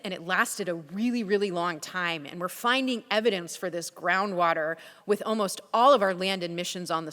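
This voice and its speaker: A woman's voice: on the low side, fairly bright tone. Enthusiastic woman speaking in a hall. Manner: enthusiastic, earnest. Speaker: panel speaker, Mars rover scientist. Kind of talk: conference panel talk with slides. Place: conference hall.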